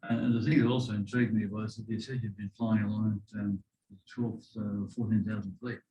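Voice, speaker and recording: An Australian man asking an aviation guest technical questions, soft-spoken and hesitant with many "uh" and "um". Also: fairly low pitch, little pitch movement, brisk, slight background noise, poor audio. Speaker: audience questioner.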